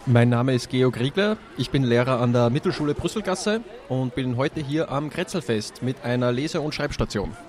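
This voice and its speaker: A man's voice: medium pitch, moderate pace, hardly any pauses, a little mellow. A man, a teacher answering interview questions outdoors at a street festival in a friendly, candid way.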